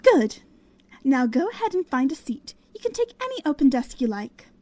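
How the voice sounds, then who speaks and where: Woman as a mellow, sing-song pony schoolteacher. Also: sits high, sing-song, moderate pace, dry recording. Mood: cheerful, welcoming. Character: cheerful, kindly female pony schoolteacher. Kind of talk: acted audiobook dialogue.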